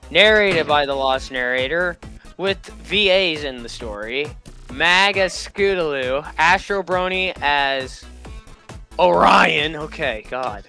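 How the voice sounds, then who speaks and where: A man giving casual, excitable reaction commentary as a host, often bursting into exclamations. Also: on the high side.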